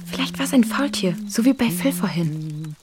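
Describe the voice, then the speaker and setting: A woman's voice: on the low side, expressive, moderate pace, background noise, natural voice. A woman playing a caring, inquisitive girl in an adventure crew for a children's audio drama. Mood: wondering.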